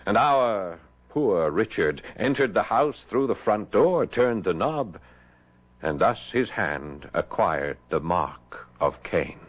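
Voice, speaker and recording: Low-voiced, logical gentleman detective. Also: lively intonation, husky, heavily acted. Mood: calm reasoning. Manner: measured, reasoning, explanatory. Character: calm, logical gentleman detective of colonial America. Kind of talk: radio drama acting. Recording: dull vintage radio recording.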